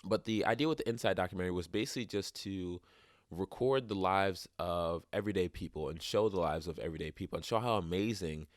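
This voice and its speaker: A young man with a low, congested voice talks through his solo podcast in a loose, rambling way, starting over and circling back mid-thought. He sounds a bit sniffly from a cold. The sound is dry and close to the mic. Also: fast, dry recording. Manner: rambling, many restarts and fillers. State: stuffed up, sniffly. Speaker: podcast host. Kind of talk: casual solo podcast talk.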